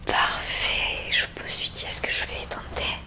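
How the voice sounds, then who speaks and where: A woman whispering gently and breathily close to the mic, giving soothing, reassuring instructions in an ASMR medical exam roleplay. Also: measured pace. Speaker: ASMR creator playing an examiner in a roleplay. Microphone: close ASMR microphone.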